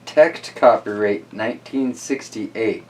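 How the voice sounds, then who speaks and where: A man hosting a children's story reading, mixing casual, easygoing chat and musing asides with animated storybook narration. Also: fairly low pitch, slow pace, recorded from a distance, low-quality recording.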